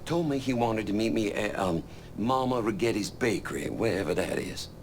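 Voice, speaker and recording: A man's voice: lively intonation, normal speed, slight background noise. An actor playing a chatty, rambling man who thanks the hero for getting his truck back. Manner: rambling, jumpy pitch, folksy. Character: excitable older male truck owner, chatty and rambling.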